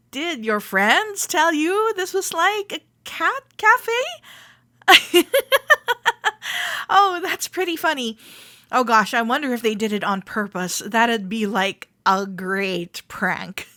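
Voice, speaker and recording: Woman acting a bright, unhurried, friendly cuddle club welcomer. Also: fairly high pitch, dry recording, exaggerated voice. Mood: amused, flustered. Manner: gentle, reassuring, playful, hesitant soft-spoken chattiness. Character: friendly, teasing female cuddle club member welcoming a shy newcomer. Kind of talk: roleplay audio drama, spoken directly to the listener.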